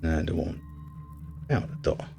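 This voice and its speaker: A man's voice: measured pace, dark tone, soft background music. A man giving casual gameplay commentary as a reviewer, often hesitant and thinking aloud. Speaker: video game reviewer.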